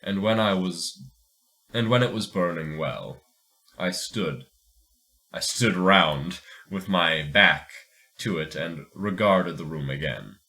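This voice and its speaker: A man's voice: deep voice, takes it slowly, pushed and bright. A man co-hosting a podcast, moving between casual chat and dramatic reading of a story.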